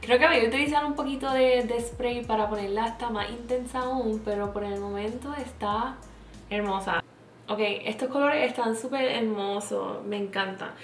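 A woman's voice: on the high side, moderate pace, echoey room. Chatty Puerto Rican woman, makeup vlog. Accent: Puerto Rican Spanish. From Puerto Rico. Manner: chatty, mixes English words into Spanish. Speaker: makeup vlogger. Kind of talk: makeup tutorial, talking while applying. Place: small indoor room with plain backdrop.

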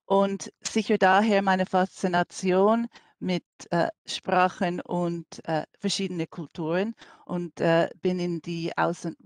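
American woman speaking halting German. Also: on the low side, level tone, dry recording, clean studio sound. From New York City. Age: middle-aged. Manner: hesitant, many fillers, non-native German phrasing. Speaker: language school director. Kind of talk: online conference presentation.

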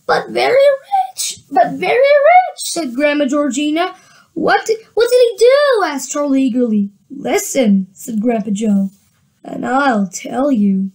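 High-voiced woman reading a storybook aloud. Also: very animated, measured pace, a little mellow, low-quality recording. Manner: reads with frequent restarts and chatty asides. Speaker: reader of a children's book.

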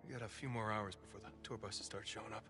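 A man's voice: on the low side, steady tone, fast talker, over music, close to an everyday voice. An actor playing a determined, wry American treasure hunter in his thirties in a video game, speaking casually but urgently. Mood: tense, low. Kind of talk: video game cutscene acting.

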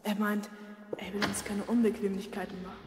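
A woman playing an eager, caring twelve-year-old boy in a German audio drama, earnest and polite, slightly husky. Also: mid-range voice, level tone, brisk, noisy background, natural voice. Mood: polite, concerned.

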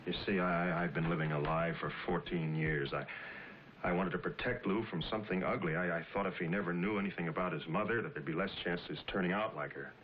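A man's voice: low voice, fast, a little hiss or hum, lightly acted. An actor with an American accent playing a troubled, stern middle-aged businessman father in a 1950s television drama. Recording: muffled old TV soundtrack with music.

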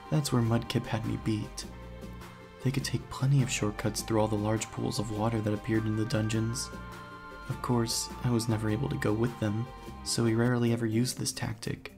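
A man's voice: medium pitch, creaky. A man reading scripted first-person story narration for a video game playthrough, as the hero. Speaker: narrator and let's player, speaking in character as hero. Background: background music.

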